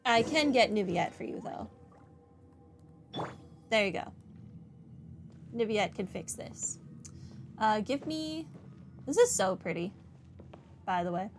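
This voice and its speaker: A woman's voice: mid-range voice, lively intonation, slow, pauses often. A woman gamer giving casual playthrough commentary and reading some dialogue aloud. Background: faint game music.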